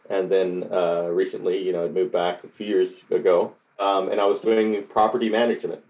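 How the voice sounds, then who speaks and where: A middle-aged man from Costa Rica with a deep, low-pitched voice. He answers interview questions in a halting way, full of fillers and restarts as he gathers his thoughts. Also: muffled recording. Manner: hesitant, many fillers and restarts. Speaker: coding bootcamp graduate.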